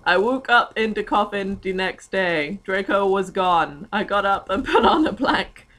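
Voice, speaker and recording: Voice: medium pitch, expressive, a little slow, a bit bright, a little hiss or hum. Someone doing a jokey, sweary dramatic reading of fan fiction, giggly and stumbling over odd words.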